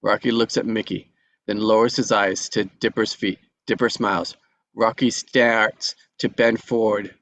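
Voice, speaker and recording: Guy reading the action lines, lowish voice, steady. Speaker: stage directions reader. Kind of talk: reading stage directions.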